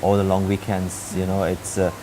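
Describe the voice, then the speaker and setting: A middle-aged man with an Austrian accent speaks in a very low, even voice. He talks quickly, stopping often for fillers and restarts. A steady hiss sits under the recording. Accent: Austrian German. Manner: hesitant, many fillers and restarts. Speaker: hotel general manager. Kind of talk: interview answers.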